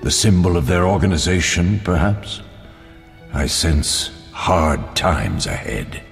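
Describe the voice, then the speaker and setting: An actor playing a grave, fatherly older mentor of monster hunters in a video game, speaking in a measured, solemn way. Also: low-pitched, big pitch swings, gravelly, exaggerated voice. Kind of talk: dramatic video game dialogue. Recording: long hall reverb with music behind.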